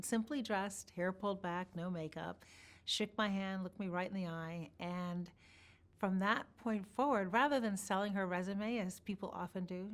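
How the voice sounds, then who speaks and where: Woman interviewee, lowish voice, unhurried reflective storyteller. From born in Iran, grew up partly in Chicago. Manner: measured, thoughtful, warm recollection with pauses. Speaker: interview guest.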